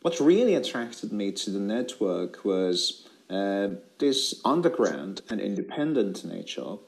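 A man's voice: lowish voice, sing-song, measured pace, gravelly. An older man presenting on a video call at an academic conference, hesitant with many filler sounds. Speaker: panelist. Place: indoor room, seated.

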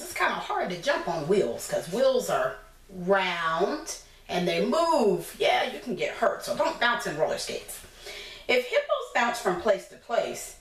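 An American woman in her thirties reading a picture book aloud to young children as a teacher, encouraging them and asking simple questions. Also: medium pitch. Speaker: preschool teacher. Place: small indoor room against a plain decorated wall. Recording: roomy echo, distant mic, clear background noise.

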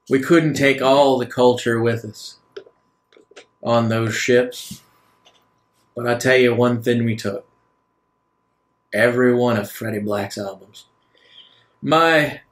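A man voicing an aged, philosophical leader in a tabletop game, speaking solemnly and deliberately with pauses. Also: mid-range voice, lightly acted. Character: aged male leader, measured, grave, philosophical, persuasive. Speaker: game master. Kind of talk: in-character tabletop roleplay.

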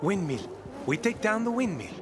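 A high-voiced, loyal grown son. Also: male voice, sing-song, normal speed, music in the background. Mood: earnest. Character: loyal, protective grown son of an old inventor. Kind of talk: video game character acting.

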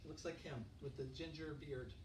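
A middle-aged pastor gives a relaxed, chatty sermon, tossing questions to his congregation. His voice sits a bit high for a man. It was recorded from the back of an echoey church hall, with a steady room hiss behind it. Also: normal speed, distant mic. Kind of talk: conversational sermon. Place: church fellowship hall, indoors. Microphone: handheld mic, filmed from far back.

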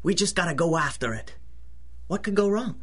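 A man's voice: on the high side, lively intonation, normal speed, breathy edge. An actor playing a cocky, jumpy small-time robber in a 1930s-style radio drama, talking in tough-guy slang. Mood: swaggering, bitter.

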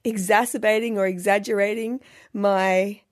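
A middle-aged Australian woman hosting a solo podcast, her voice husky, croaky and slightly nasal from a recent illness, chatty and self-deprecating. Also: medium pitch, fairly even intonation, normal speed. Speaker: podcast host.

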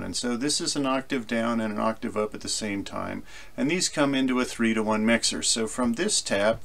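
A man presenting an informal software screencast tutorial, explaining conversationally as if thinking aloud. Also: mid-range voice, vocal fry.

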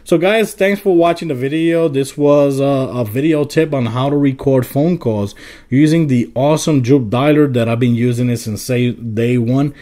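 Casual man with a slightly mellow voice. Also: medium pitch, moderate pace. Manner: informal, step-by-step explaining. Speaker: tech video host. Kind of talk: casual phone app tutorial.

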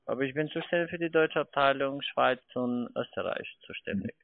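A man's voice: mid-range voice, creaky, slight background noise. Non-native man on a tinny phone line. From Edinburgh, Scotland. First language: not native German speaker. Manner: polite, halting non-native phrasing. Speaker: cold-calling phone salesman. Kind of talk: unscripted phone sales call.

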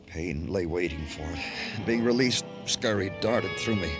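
Low gritty-mooded american cop, old radio noir, music under him. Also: male voice, measured pace. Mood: brooding. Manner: terse, dramatic, brooding. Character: world-weary hard-boiled male police lieutenant, narrating his case. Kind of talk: old-time radio crime drama acting.

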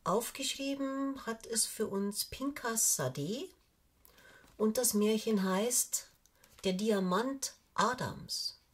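An older woman reading a fairy tale aloud for children, with measured, expressive storytelling and deliberate pauses. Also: a little high, breathy edge. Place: small indoor room, seated on a sofa.

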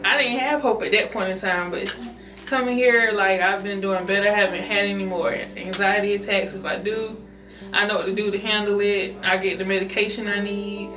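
A young woman speaks earnestly as a patient in an interview, repeating herself for emphasis. Her voice sits at a middle pitch, a little away from the mic in an echoey room, with music playing behind. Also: fairly even intonation, moderate pace. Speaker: clinic patient. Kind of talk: personal testimonial interview. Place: small wood-paneled room indoors. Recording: roomy, distant mic, music underneath. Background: background music.

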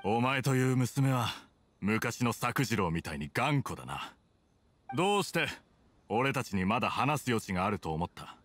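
A man playing a cold, proud, gruff samurai officer in a Japanese anime game. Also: mid-range voice, very animated, moderate pace, a bit bright. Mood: grudging.

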